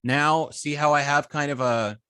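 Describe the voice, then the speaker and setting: A man working as an instructor, explaining a live coding demonstration step by step in an informal, halting way, thinking aloud as he works. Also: on the high side, fairly even intonation, pushed and bright, clear, resonant tone, dry recording. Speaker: web development instructor.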